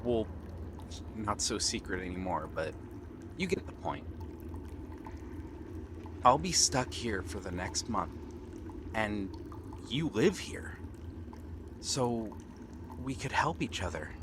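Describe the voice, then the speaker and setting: Slow, earnest young pirate prince played by a man. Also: lowish voice, big pitch swings, noisy background. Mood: eager, hopeful. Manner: earnest, gentle, unhurried talk with pauses. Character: friendly, eager young pirate prince befriending a shy mermaid. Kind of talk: ASMR roleplay acting, one-sided dialogue. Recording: water and ambient sound effects behind. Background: seaside ambience, water sounds.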